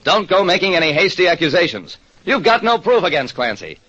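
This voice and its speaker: A man playing a wry, steady American private detective, narrating colloquially in 1940s radio drama style. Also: sits high, a little fast, full character voice. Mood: concerned. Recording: dull, clearly noisy old radio recording.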